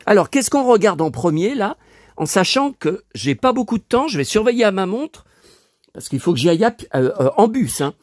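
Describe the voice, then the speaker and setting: A man's voice: sits high, big pitch swings, brisk, no room sound, studio quality. A man in his fifties, stuffy from a lingering cold, rambling to his viewers with lots of hesitations and restarts. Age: 50s. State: dry mouth. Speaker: collector vlogger. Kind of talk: rambling casual monologue to viewers.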